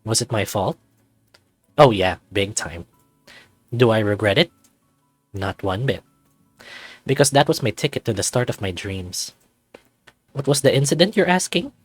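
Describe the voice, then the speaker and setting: A man's voice: on the low side, lively intonation, a little slow, pauses often, husky, no echo, soft background music. Man playing a wry young furry student, close dry sound. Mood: cocky storytelling. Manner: wry, self-deprecating, conversational first-person narrating. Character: sarcastic, anxious young male furry student with magic powers. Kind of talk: visual novel character voice-over.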